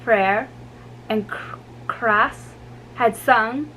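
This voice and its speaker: A woman giving a dramatic amateur book reading, halting and stumbling over difficult names. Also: a little high, bright, forceful tone, rough recording. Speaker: amateur reader. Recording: faint background noise.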